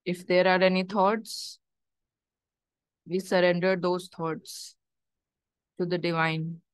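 A woman leading a guided meditation, giving gentle instructions and prayers in measured short phrases with long pauses. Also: fairly low pitch, level tone, clear, resonant tone, no room sound.

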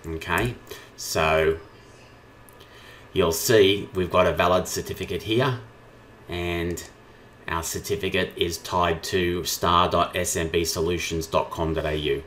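A man with a low-pitched voice presents a software tutorial. He explains each step informally, as a trainer talking through a screen share. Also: fairly even intonation, unhurried, slight background noise.

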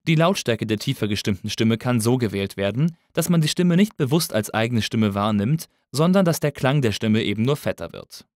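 Guy narrating a tutorial, measured explainer, lively pitch movement, very dry close mic. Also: no echo. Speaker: instructor.

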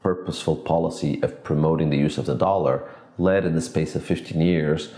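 A man in his forties from Portugal, an economics professor giving measured, academic interview answers in an office. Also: extremely low pitch, mellow, roomy sound. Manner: measured, academic, thinks aloud with restarts. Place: office with glass walls, indoors.

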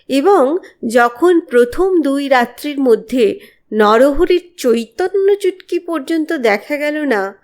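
A woman narrating a horror story in a measured, expressive, dramatic way. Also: a little high. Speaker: audiobook narrator of horror stories.

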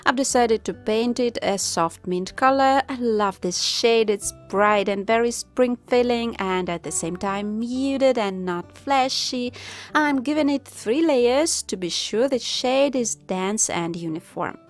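Calm woman narrating a craft tutorial. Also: fairly high pitch, measured pace. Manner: calm, instructive. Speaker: craft channel host. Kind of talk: step-by-step voice-over narration. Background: soft background music.

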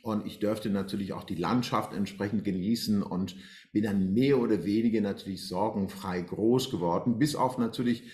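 A man's voice: on the low side, moderate pace, a little mellow. Hesitant man from Iran, roomy echo. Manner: hesitant, many fillers and restarts. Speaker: guest. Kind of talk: personal life story in interview. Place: bright room at home, indoors. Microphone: video call.

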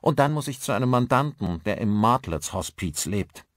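Male narrator as adult woman, wife, raised pitch, brisk, coolly polite, audiobook character. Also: lively intonation, a bit bright, no echo, clean studio sound.

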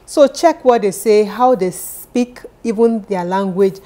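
A woman's voice: medium pitch, unhurried, mellow. A woman from Nigeria in her thirties with a Nigerian accent, giving earnest, encouraging advice straight to camera from her living room sofa. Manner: earnest, encouraging, repeats key points. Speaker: vlogger for immigrants. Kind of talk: direct-to-camera advice vlog.

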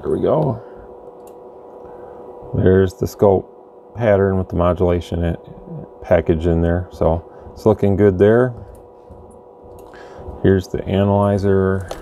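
A man's voice: low-pitched, steady tone, slow pace, vocal fry, long pauses, mellow, muffled recording. A man giving a casual, plain-spoken, rambling product demonstration as a channel host at his indoor workbench. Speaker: radio bench reviewer, channel host. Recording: faint background noise, room ambience.

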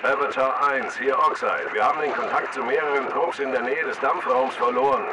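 A man's voice: mid-range voice, fairly even intonation, fairly quick, a loud crowd, lightly acted. A man playing a clipped, authoritative military command-post radio operator in a video game. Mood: commanding, urgent.

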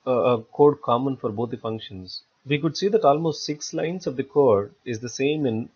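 Husky man narrating a tutorial, muffled sound. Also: mid-range voice, normal speed, a little mellow. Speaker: software tutorial instructor. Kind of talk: screen-recorded step-by-step tutorial narration. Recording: dull lo-fi voice-over recording.

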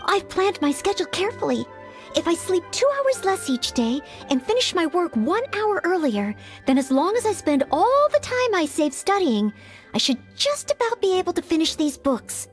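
A woman's voice: very high voice, sing-song, moderate pace, faint music behind. A woman voicing an earnest, anxious young maid who dreams of knighthood in a video game, speaking politely and hesitantly. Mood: earnest, determined. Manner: polite, hesitant, self-doubting.

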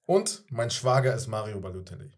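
A young man with a husky voice, bantering casually as host and player in a guessing game. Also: lowish voice, sing-song, fairly quick. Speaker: quiz show host and contestant. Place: small indoor podcast studio. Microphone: studio microphone on desk arm.

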